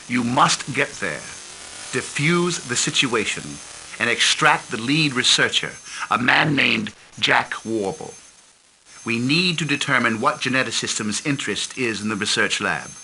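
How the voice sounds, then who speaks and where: A stern intelligence chief briefs a commander over a video link, formal and measured. His voice is bright and forceful, rising and falling widely, and his lines sink low at the ends. A faint hiss sits under the recording. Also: gravelly, full character voice, rough recording. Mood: grave. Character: grave, formal middle-aged male intelligence chief. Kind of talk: game cutscene briefing.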